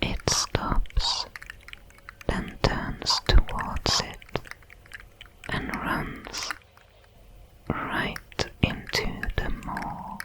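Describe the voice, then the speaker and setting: A woman voicing a calm, watchful alien predator in a breathy whisper, with formal, measured diction for an ASMR audio roleplay. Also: poor audio. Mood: calm, observant. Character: many-legged alien predator, calm, watchful, protective, coldly curious.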